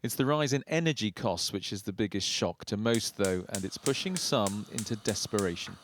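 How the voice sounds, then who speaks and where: A man's voice: lowish voice, animated, normal speed, a little hiss or hum. A man with a British accent delivering scripted narration as a television news reporter.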